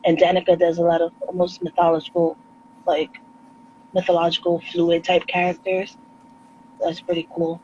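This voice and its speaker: A woman with a New York accent, a guest artist on a casual video call chat, relaxed and quick to laugh, with rapid asides. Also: on the low side, lo-fi sound, a little hiss or hum.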